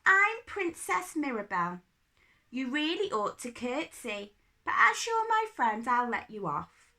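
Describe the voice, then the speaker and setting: Woman playing a haughty little storybook princess, bright and emphatic. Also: high voice, big pitch swings, a little slow, exaggerated voice. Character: imperious, boastful little princess, airy and fanciful.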